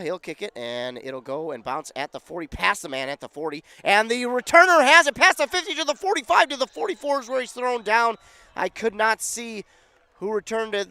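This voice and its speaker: Hoarse radio football guy, a bit high-pitched, calling plays, gets loud on big runs. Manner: excited rises on big plays. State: losing his voice, scratchy. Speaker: play-by-play announcer.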